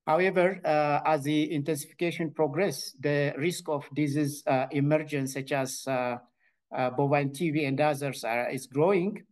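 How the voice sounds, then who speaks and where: A man from Ethiopia with an Ethiopian accent, giving a conference presentation on his research and pausing often with filled pauses and restarts. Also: a little high, steady tone, darkish tone.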